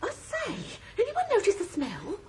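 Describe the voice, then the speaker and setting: An actress playing a squeamish, excitable, well-bred British guest in a radio drama, fluttery and genteel. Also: female voice, very high-pitched, moderate pace, crowd noise, heavily acted. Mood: genteel unease. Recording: noisy background with clicks.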